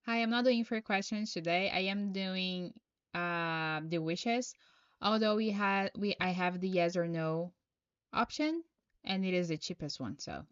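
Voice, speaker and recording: A woman from Brazil with a Brazilian Portuguese accent, speaking English as a second language as she reads tarot on a live stream. She reads viewers' questions aloud and answers them in an unhurried, halting way. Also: on the low side, clean tone, no echo, muffled recording.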